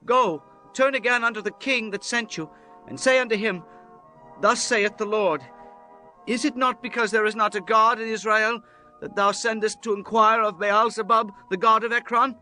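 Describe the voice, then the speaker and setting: An actor playing earnest, deferential biblical servants and disciples, speaking formal archaic lines in a dramatized scripture reading. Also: male voice, high-pitched, steady tone, normal speed, sharp tone, faint music behind. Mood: earnest reporting. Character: earnest, deferential men: messengers, pleading captain, loyal disciple.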